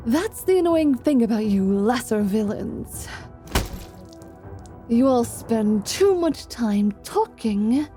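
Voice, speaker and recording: Woman playing a slow, mellow, sardonic vampire paladin. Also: on the high side. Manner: sardonic, haughty. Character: proud, spiteful, teasing half-vampire female paladin. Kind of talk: fantasy audio roleplay.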